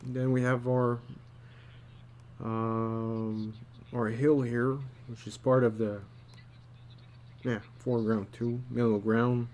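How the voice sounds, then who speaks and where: A man giving a casual drawing tutorial, thinking aloud in a halting, muttering way as he works. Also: mid-range voice, level tone, darkish tone. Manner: halting, muttering to himself while working. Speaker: storyboard artist, tutor.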